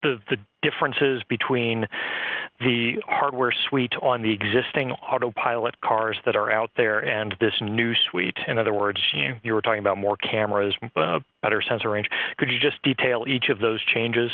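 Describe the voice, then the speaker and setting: A man's voice: mid-range voice, moderate pace, gravelly, no echo. Male journalist on a tinny phone line. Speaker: journalist asking a question. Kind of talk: polite spoken question on a call. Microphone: telephone.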